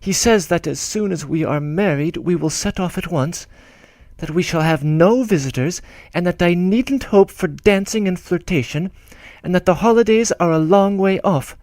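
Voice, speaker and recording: A man's voice: high voice, darkish tone, dry recording, pitched above the performer's own voice. A man voicing an anxious young bride. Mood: anxious pleading. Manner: hurried, fretful letter-reading. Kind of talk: audiobook letter reading.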